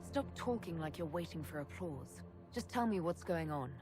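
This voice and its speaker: A no-nonsense elf heroine for a game, lowish voice, doubtful and direct, music behind. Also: female voice, expressive, moderate pace. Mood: blunt, skeptical. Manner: blunt, businesslike. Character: direct, skeptical female elven leader, no-nonsense and brave. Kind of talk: video game voice acting.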